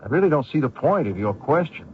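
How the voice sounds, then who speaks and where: A man's voice: mid-range voice, very animated, quick pace, natural voice. An actor playing an anxious young male fugitive, hesitant, polite and evasive, in old-time radio drama style. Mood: nervous, evasive. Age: young adult. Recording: noisy lo-fi old radio recording.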